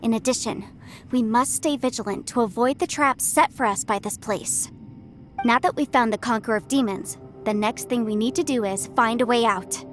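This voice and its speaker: High female voice, acted by a woman, earnest young game heroine, caring, urgent, articulate, music behind. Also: moderate pace, close to an everyday voice. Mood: serious, analytical. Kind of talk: English game dub voice acting.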